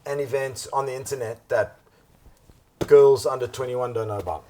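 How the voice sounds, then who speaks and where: A wry man trading jokes on a casual podcast. He tells stories with a teasing edge. His voice sits at an ordinary middle pitch. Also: moderate pace, warm tone, slight background noise. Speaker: podcast co-host.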